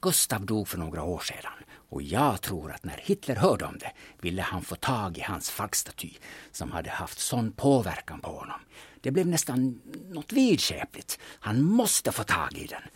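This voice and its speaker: A cynical, secretive rogue, played by a man in an audio drama. He has a very low, husky voice, close and dry, and speaks bluntly with a dry wit. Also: big pitch swings, no echo, exaggerated voice. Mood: intense storytelling. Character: cynical, blunt, secretive male grave robber Heikki.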